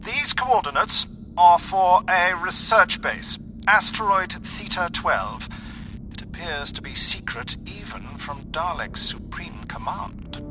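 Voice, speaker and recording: A formal space official in a sci-fi radio play. His voice sits a little high, measured and businesslike, over clear background music. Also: expressive, low-quality recording. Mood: grave, businesslike. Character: formal, businesslike male alien cardinal reporting intelligence. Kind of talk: audio drama acting.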